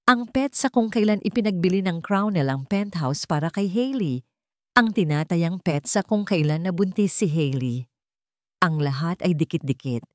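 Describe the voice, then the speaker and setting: Female Filipino storyteller: lower register, expressive swings, dramatic scripted delivery. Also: moderate pace, dry recording, studio quality. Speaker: narrator.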